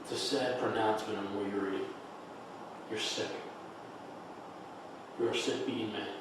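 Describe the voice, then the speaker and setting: A man's voice: fairly low pitch, steady tone, moderate pace, natural voice. A man acting a blunt, reproachful friend, echoey. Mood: cold reproach. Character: blunt, hurt man condemning his friend's book. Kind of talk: dramatic table read of a play. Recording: echoey room, far mic, clear noise.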